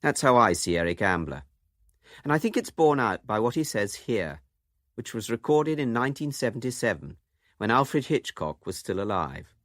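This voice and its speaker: A man's voice: medium pitch, big pitch swings, moderate pace, fairly bright tone, no room sound. A man presenting a radio documentary in scripted, literary narration with dry humour.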